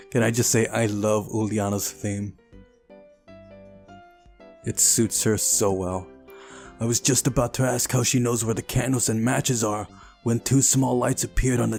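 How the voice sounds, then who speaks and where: A man's voice: mid-range voice, steady tone, a little slow, creaky, long pauses, darkish tone, soft background music. A man hosting a visual novel playthrough, reading the narration aloud and adding casual commentary. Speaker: let's play host.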